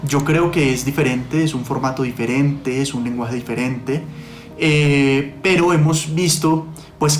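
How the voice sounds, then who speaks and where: A man giving explanatory interview answers, pausing and rephrasing often as he goes. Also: mid-range voice, a little hiss or hum. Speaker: podcast producer, interview guest. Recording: roomy echo, faint music.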